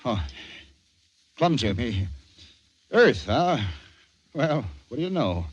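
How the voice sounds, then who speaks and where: A man's voice: mid-range voice, sing-song, slow pace. An actor playing a genial, effusive small-town shopkeeper and father who humors his visitors in an old radio drama. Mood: impressed, humoring. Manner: folksy, effusive. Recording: noisy old radio recording.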